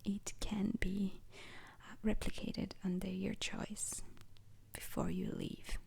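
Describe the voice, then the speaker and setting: A breathy, whispered female instructor from a space agency, acted by a woman for an ASMR roleplay. She lectures very slowly and formally, pausing often between short phrases. The voice is husky with some creak, and stays calm throughout. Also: medium pitch, exaggerated voice. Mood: calm, instructive. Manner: slow, deliberate, hesitant pauses, formal wording.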